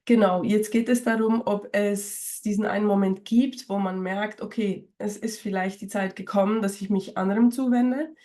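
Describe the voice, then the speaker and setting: A woman speaks straight to her viewers as a spiritual coach, a bit hoarse from a lingering cold. Her voice sits at an ordinary middle pitch for a woman. She talks in a loose, rambling way, full of fillers and self-corrections. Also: fairly even intonation, normal speed, hardly any pauses, mellow. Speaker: spiritual coach and channel host. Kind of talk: casual talk to camera.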